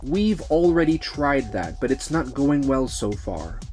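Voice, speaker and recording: A man plays a courteous businessman in a manga dub. His voice is mid-pitched and he speaks earnestly over background music. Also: sing-song, measured pace, a little mellow. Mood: grave, concerned. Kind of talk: manga dub character acting.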